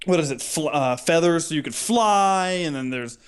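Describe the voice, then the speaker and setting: A man's voice: fairly high pitch, animated, normal speed, clean tone. A man co-hosting a podcast in casual comedic banter, playful and mock-grandiose, stretching words for effect. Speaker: podcast co-host.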